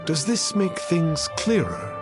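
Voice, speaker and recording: An actor playing a pompous immortal master in a game, speaking in archaic, formal phrases. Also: male voice, a little high, sing-song, slow pace, loud music behind the voice. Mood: lofty, preachy. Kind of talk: scripted game character acting.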